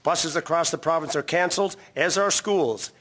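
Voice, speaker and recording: A 1950s radio newsreader, played by a man in a drama. His voice sits slightly high with crisp, formal announcer pacing. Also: normal speed, a bit bright, slight background noise, lightly acted. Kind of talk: old-time radio news reading.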